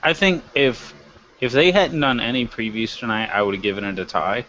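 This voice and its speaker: A man's voice: medium pitch, normal speed, bright, forceful tone. A man co-hosting a review show, chatting casually with blunt, critical opinions. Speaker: co-host of a wrestling review show. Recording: dull, lo-fi, noisy background.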